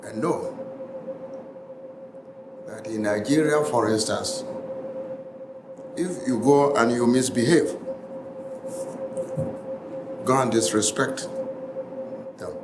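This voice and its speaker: A man's voice: medium pitch, slow, long pauses. Ghanaian man lecturing in an echoey classroom. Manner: emphatic, repeating phrases for stress. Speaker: college lecturer. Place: classroom, indoors. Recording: echoey classroom, noisy, room ambience.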